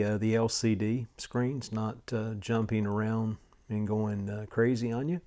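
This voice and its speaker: A man with a fairly low voice gives an informal technical walkthrough as a hobbyist presenter. His delivery is halting, often broken mid-phrase by small hesitations and uhs. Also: darkish tone.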